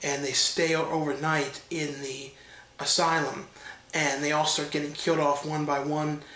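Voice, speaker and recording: A man's voice: on the high side, level tone, normal speed, sharp tone, roomy sound, rough recording. A man with a stuffed-up nose from allergies giving a loose, rambling casual review, with many fillers and restarts. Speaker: horror film reviewer.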